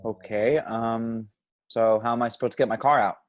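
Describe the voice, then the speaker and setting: A man's voice: medium pitch, steady tone, moderate pace, faint music behind, natural voice. A man playing an agitated younger man who feels trapped, in an amateur table read. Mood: agitated disbelief.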